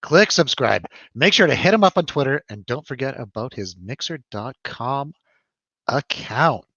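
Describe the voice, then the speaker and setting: A man's voice: mid-range voice, expressive, moderate pace, sharp tone, breathy edge, dry recording. Jokey man hosting, slightly dull sound. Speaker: host of a movie review show. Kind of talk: casual live radio-show banter.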